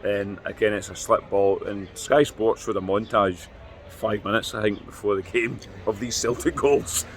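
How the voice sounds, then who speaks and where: A Scottish man co-hosting a football podcast outdoors, talking in a measured, thoughtful way. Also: lowish voice, fairly even intonation, slight background noise. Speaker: podcast co-host. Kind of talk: post-match fan reaction chat.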